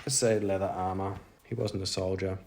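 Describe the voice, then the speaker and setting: A man's voice: low voice, steady tone, normal speed, darkish tone, a little hiss or hum. A man thinking aloud, unscripted, as he plays a tabletop game alone, musing to himself. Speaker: solo roleplayer.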